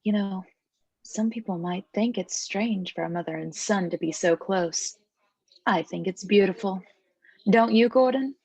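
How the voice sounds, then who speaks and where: A woman's voice: medium pitch, a little slow, vocal fry, darkish tone, husky, dry recording. A woman playing a controlling, scheming mother in a table read, talking to her grown son in a syrupy, coaxing way. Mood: cloyingly tender.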